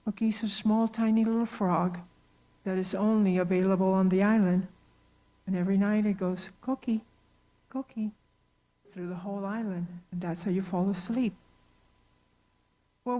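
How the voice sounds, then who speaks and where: A woman from Rico speaks over a video call in an earnest, evocative way. Her voice sits at a medium pitch. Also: dark tone, low-quality recording. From Puerto Rico. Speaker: speaker sharing her heritage. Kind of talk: personal talk on heritage.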